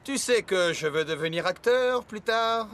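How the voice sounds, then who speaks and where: A man's voice: high voice, lively intonation, unhurried, fairly bright tone. A man playing a vain, showy footballer who craves stardom, self-satisfied and theatrical, in a French cartoon dub. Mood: smug.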